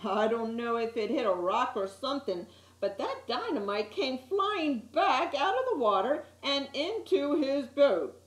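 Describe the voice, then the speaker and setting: A woman reading a children's picture book aloud as an expressive storyteller, with little asides to her listeners. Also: sits high, measured pace. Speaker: story time reader. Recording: echoey room.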